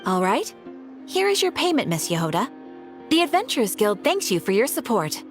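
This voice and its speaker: An actress playing a polite, attentive guild receptionist in a video game, with a courteous, professional manner. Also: female voice, fairly high pitch, very animated, moderate pace. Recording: studio voice over game music. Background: background music.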